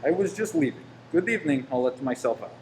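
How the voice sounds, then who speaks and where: Male actor as self-satisfied bomb-maker villain, precise radio drama delivery, medium pitch, faint noise. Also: expressive, fast talker, natural voice. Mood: businesslike. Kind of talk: amateur radio play acting.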